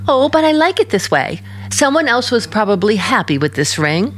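Casting: earnest young niece for vintage radio thriller, very expressive, insistent. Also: female voice, on the high side, normal speed, music in the background. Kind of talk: mid-century American radio drama acting.

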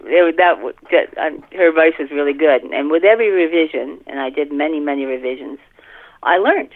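A woman's voice: low-pitched, a little fast. A middle-aged woman author giving a casual, anecdotal interview over the telephone, with a self-deprecating manner. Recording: tinny narrow phone line.

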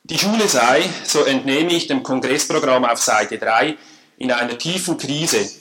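A man with a Swiss German accent giving a formal lecture at a conference, measured and dryly humorous. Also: mid-range voice, a bit bright. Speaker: conference speaker. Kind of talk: academic lecture. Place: lecture hall.

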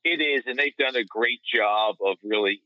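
A man's voice: mid-range voice, normal speed. A man giving casual sports analysis as a podcast panelist, over a dull remote line.

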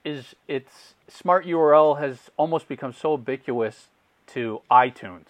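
A man of middle pitch hosts a casual interview, recorded close and dry. He asks long questions and often stammers and restarts his words. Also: measured pace, dry recording, dull audio. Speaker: podcast host.

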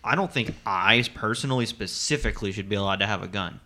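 A man's voice: on the low side, quick pace, pushed and bright. A man in casual podcast banter, candid and profane, joking darkly.

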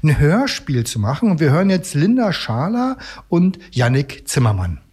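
A man's voice: on the high side, sing-song, normal speed, darkish tone, slightly rough. A man hosting a radio magazine, chatting casually and introducing segments.

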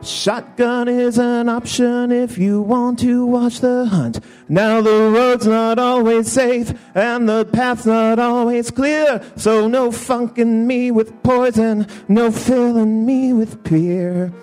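A man with a high voice sings a sly, suggestive cabaret number at a live show. He takes his time, drawing the lines out slowly, with faint accompaniment underneath. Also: steady tone, darkish tone, faint music behind. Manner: sung, sly and suggestive delivery. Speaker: cabaret singer. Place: live performance venue.

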